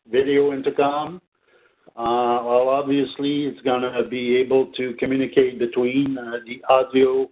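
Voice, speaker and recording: Halting male presenter on a phone-like line. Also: medium pitch. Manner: halting, hesitant delivery with very frequent filled pauses. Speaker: product trainer presenting for a company. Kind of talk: unscripted webinar product presentation. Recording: narrow, tinny phone-like line.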